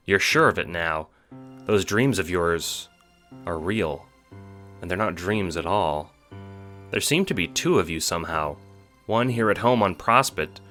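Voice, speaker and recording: A man giving a scripted, dramatic narration for an audio drama, with deliberate phrasing. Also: sits low, bright, forceful tone.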